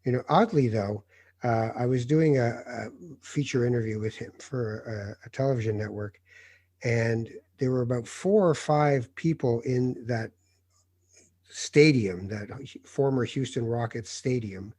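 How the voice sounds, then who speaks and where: A middle-aged man, a journalist born in Morocco, telling lively anecdotes in casual conversation and mimicking the people he quotes. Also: mid-range voice, a little slow, no echo.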